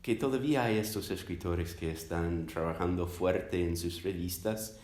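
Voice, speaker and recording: A man from the United States, a native English speaker, answering interview questions as a scholar in a halting, thoughtful way, searching for words. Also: low voice, a little mellow. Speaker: interviewed academic, literature scholar. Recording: roomy, echoing sound.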